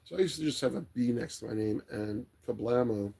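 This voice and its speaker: Very raspy, gravelly man, casual livestream chat. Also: medium pitch. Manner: rambling, unhurried, relaxed. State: voice tired and getting raspy late at night. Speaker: livestream host. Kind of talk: casual chat, reading viewer comments aloud.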